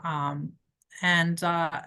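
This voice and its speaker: A woman from Quebec with a slightly low voice, answering interview questions. She speaks in a direct, emphatic way and lays down firm rules. Her delivery is conversational, with the confidence of an experienced lawyer. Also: little pitch movement, drawn-out words, sharp tone, clean tone. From Quebec, Canada. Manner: direct, emphatic advice-giving. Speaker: criminal defence lawyer.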